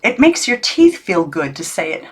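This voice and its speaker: A woman's voice: lowish voice, sing-song, normal speed. A woman reading the part of a playful, teasing older great-uncle in a children's storybook, exclamatory and formally polite. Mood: delighted.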